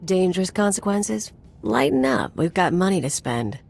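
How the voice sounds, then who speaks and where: A woman's voice: on the low side, lively intonation, normal speed, darkish tone. An actress voicing a cynical, streetwise mercenary woman in a video game, flippant and taunting in clipped, slangy phrases. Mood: sly, flippant. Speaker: companion character in a video game. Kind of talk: video game voice acting, casual dialogue. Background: music.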